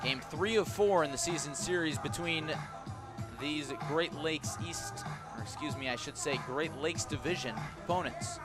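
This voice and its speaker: Higher-voiced man calling baseball on radio. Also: over loud music. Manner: measured, detailed calls that swell on key plays. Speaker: play-by-play radio announcer. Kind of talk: live baseball commentary. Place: ballpark broadcast position.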